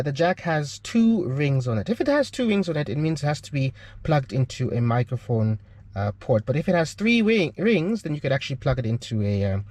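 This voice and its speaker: A man's voice: a little high, darkish tone. A man with a South African accent explains gear in an informal tutorial, talking in a hesitant, explanatory way and often checking in as he goes. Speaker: aviation channel host.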